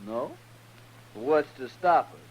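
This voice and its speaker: A man's voice: medium pitch, expressive, slow, a little mellow, highs cut off, slight background noise. An actor playing a cocky, goading gunman in a radio western, with a folksy rural western American accent. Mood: taunting, menacing. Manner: taunting, folksy, swaggering. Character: cocky, goading Old West troublemaking gunman. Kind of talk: 1950s radio western acting.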